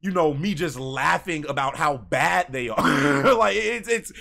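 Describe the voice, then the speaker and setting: A man's voice: fairly high pitch, animated, unhurried, gravelly, pushed and bright. An American man in his thirties ranting casually to camera as a gaming YouTuber, emphatic and given to repeating phrases. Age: 30s. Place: small bedroom, indoors.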